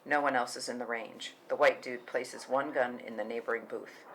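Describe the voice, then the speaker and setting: Male script narrator, average pitch, scripted stage directions, faint background noise. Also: fairly even intonation, normal speed, fairly bright tone, low-quality recording. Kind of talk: scripted narration, screenplay table read.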